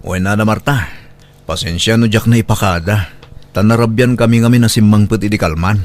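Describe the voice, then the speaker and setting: A man's voice: lowish voice, fairly quick, natural voice. An actor with an Ilocano accent playing a humble young working man and devoted boyfriend in a radio drama. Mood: apologetic.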